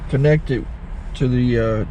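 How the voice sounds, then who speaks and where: A man hosting his channel, casually walking through a gear setup with halting self-corrections. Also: medium pitch, warm tone, highs cut off. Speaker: channel host, recording taper. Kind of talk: casual how-to demonstration. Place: inside a truck cab. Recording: faint car and outdoor noise.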